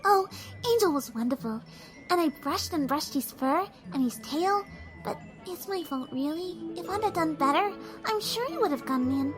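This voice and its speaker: A woman voicing a shy, gentle young pony who loves animals, hesitant and apologetic, in an audio drama. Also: extremely high pitch, expressive, normal speed, over music. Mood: tender, apologetic. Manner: soft-hearted, hesitant, apologetic.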